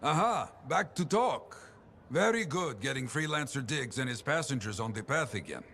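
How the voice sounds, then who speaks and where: Jovial, gravelly foreign contract fixer. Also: male voice, mid-range voice, normal speed, slight background noise. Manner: jovial, expressive, broken English. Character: boisterous foreign fixer handing out mercenary contracts. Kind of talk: video game character acting.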